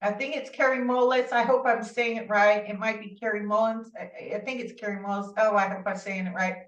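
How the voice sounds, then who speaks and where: A woman guest telling her story in a rambling, animated way, with many asides and restarts, heard through a remote call. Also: medium pitch, fairly quick. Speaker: interview guest. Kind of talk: casual conversational storytelling. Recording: distant, roomy, dull-sounding audio.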